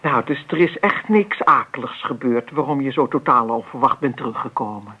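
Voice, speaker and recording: An actress playing a caring but firm middle-aged mother with a husky voice in a Dutch radio play. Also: extremely low pitch, lively intonation, moderate pace, full character voice. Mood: welcoming, concerned. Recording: dull old radio play recording.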